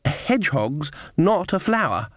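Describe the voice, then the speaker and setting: A man's voice: a little high, very animated, moderate pace, a bit bright, no echo, clean studio sound, voice pushed up. A man voicing an innocent but cheeky little girl in an audiobook, with a childlike, challenging and insistent manner. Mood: doubtful, taunting. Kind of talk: audiobook character voice.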